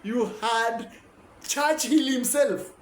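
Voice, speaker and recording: A man's voice: very high-pitched, slow, echoey room, a little hiss or hum. A man giving a rambling, self-correcting informal lecture.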